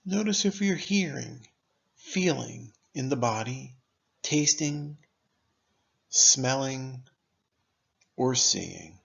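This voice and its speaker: A man narrating a scripted guided meditation in a measured, instructive manner, pausing deliberately between phrases. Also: mid-range voice, lively intonation, vocal fry, highs cut off.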